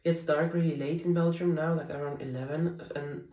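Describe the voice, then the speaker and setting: A woman in her thirties whose first language is Polish, speaking in a soft, candid, confiding way in a personal diary vlog at home. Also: deep voice, fairly even intonation, moderate pace, echoey room, far from the mic, dull audio. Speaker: vlogger. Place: home, at night.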